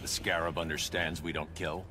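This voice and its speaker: Game casting: man as low-voiced brooding vigilante, terse, stern, commanding, over loud rumbling noise. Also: little pitch movement, normal speed, natural voice. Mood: grim, challenging. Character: grim, stern, brooding veteran male vigilante. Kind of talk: video game character acting.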